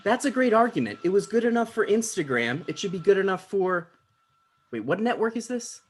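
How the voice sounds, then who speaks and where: An anxious, pompous male talk show host in his thirties , read by a man at a comedy table read. His voice sits on the high side and swoops around a lot, petulant and defensive. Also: very animated, brisk. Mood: petulant, dismissive. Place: video call.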